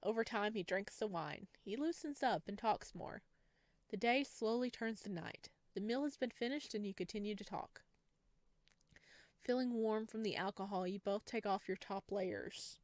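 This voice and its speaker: Expressive woman narrating a game, dry close sound. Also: mid-range voice, moderate pace, no echo. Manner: giggly, gushing, reacts with exclamations. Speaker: gaming video host. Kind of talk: visual novel let's play, reading text aloud with excited reactions.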